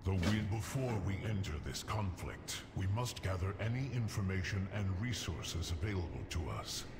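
An actor playing a grave, noble male dragon leader in a fantasy game, speaking in a solemn, measured way. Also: sits low, animated. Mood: solemn resolve. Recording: game ambience noise behind voice.

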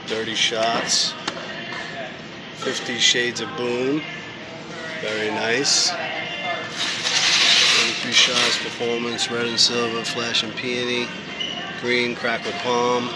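A man's voice: medium pitch, little pitch movement, slow, long pauses, heavy background noise. A man vlogging as he walks a fireworks store with his son, holding the camera and eagerly reading out product names and prices. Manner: enthusiastic, reads out prices and names. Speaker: vlogger, father. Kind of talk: casual shopping commentary. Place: large indoor fireworks store. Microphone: handheld camera. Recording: store music playing loudly behind.

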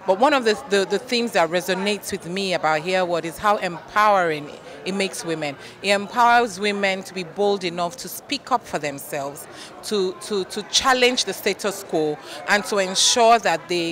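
A woman's voice: fairly low pitch, moderate pace, fairly bright tone. A middle-aged woman giving an interview as a guest at an outdoor event. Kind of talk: on-camera vox-pop interview. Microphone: handheld reporter microphone.

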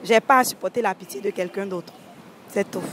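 A woman's voice: medium pitch, fairly quick, background noise. A young woman answering a street interviewer at night, explaining her view earnestly with a few restarts, into a handheld mic. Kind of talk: vox pop answer. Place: city street at night beside traffic. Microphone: handheld mic held by interviewer.

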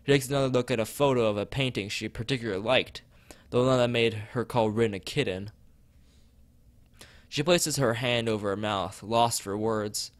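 A man's voice: medium pitch, normal speed, no echo. A man streaming as he reads a visual novel aloud and chats with viewers, often stumbling and rereading phrases. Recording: background music behind voice.

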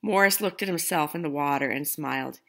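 A woman's voice: deep voice, brisk. A middle-aged woman reading a picture book aloud and addressing young viewers at home. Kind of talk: children's read-aloud and friendly address to viewers. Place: living room at home.

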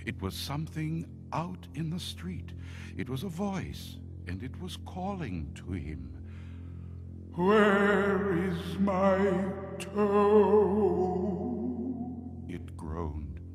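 A man narrating a scary story dramatically, in a deliberate, suspenseful manner. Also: mid-range voice, sing-song, darkish tone, faint music behind.

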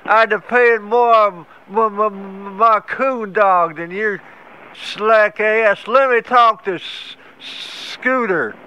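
A man's voice: sits high, slow pace, pushed and bright, smooth, heavily acted, low-quality recording. A man putting on an angry, stammering rural hillbilly who hurls folksy insults over the phone. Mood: stammering rant. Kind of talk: prank phone call.

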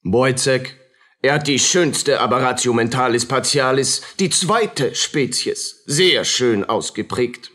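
Radio play casting: pompous male doctor, husky, deliberate, declaiming and scolding his test subject. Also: mid-range voice, a bit bright. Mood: pleased, commanding. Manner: pompous, declaiming, barking short phrases. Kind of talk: radio drama acting.

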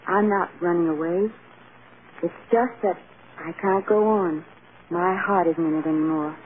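An actress playing an earnest, emotionally fragile young film star in 1940s radio drama style. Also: female voice, fairly low pitch, measured pace, lightly acted. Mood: despairing. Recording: tinny, hissy old radio recording.

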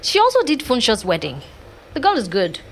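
An actress with a Nigerian accent playing a brash, fun-loving young bride-to-be in casual audio drama banter. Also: female voice, fairly high pitch, huge pitch swings, a little fast. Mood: playful, brash.

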